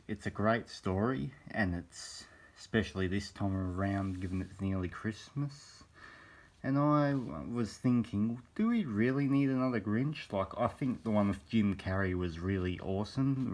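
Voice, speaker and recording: A young man with a very deep voice, made croaky by a cold. He rambles along in a casual phone-camera vlog, pausing often to find his words. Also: darkish tone. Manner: hesitant, meandering, many pauses. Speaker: vlogger. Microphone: handheld phone camera.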